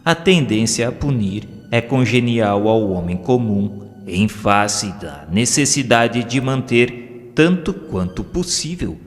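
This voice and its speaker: A Brazilian man reading solemn scripted religious narration in a formal, measured, oratorical way. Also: medium pitch, expressive. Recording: long hall reverb, music underneath. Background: background music.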